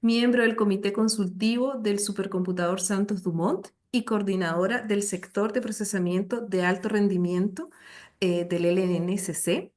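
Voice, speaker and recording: A woman's voice: medium pitch, normal speed, a little mellow. A woman hosting an online session in a formal, courteous presenter style over a video call. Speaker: session host.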